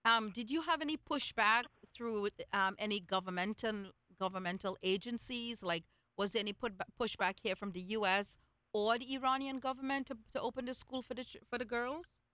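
A woman's voice: fairly high pitch, moderate pace, bright, forceful tone, no echo. Reflective woman interview host, dry sound. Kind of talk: interview conversation.

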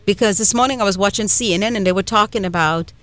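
A woman's voice: mid-range voice, fast, a bit bright, no echo. A woman from Trinidad hosting a radio talk show, chatty and emphatic, with frequent fillers. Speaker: radio host. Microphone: studio microphone.

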